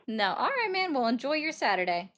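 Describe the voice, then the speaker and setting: Brisk, bright-voiced woman call-in host. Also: high-pitched, sing-song. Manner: brisk, sardonic, cuts callers off. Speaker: livestream host taking calls. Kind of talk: political call-in livestream.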